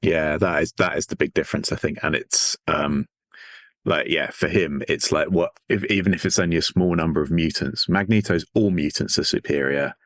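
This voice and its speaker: Very deep-voiced male podcast co-host. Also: moderate pace, a bit bright, no room sound, clean studio sound. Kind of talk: casual chat.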